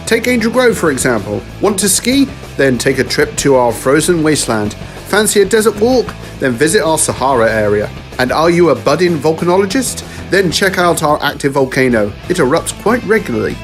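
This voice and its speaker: Salesy male travel announcer, acted by a man, highish lively voice, parody radio spot over light music. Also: normal speed.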